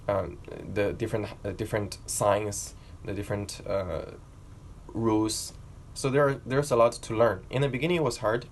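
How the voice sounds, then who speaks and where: Earnest young man from China, low voice. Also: slight background noise. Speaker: vlogger. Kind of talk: personal storytelling to camera.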